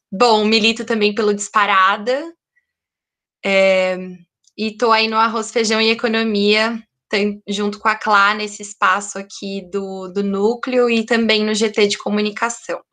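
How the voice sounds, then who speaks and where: A woman's voice: medium pitch, flat delivery, measured pace, fairly bright tone. A woman in her twenties, a journalism student, introducing herself hesitantly on a video call with fillers. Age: 20s. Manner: hesitant, with fillers and nervous laughter. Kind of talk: casual self-introduction.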